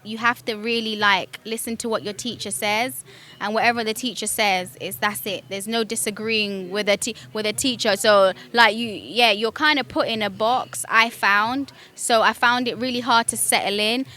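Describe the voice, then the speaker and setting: A woman's voice: fairly high pitch, steady tone, normal speed, pushed and bright. A young woman from Kenya with a British accent, chatting as an interview guest and telling her life story with many ums and easy laughs. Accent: British English.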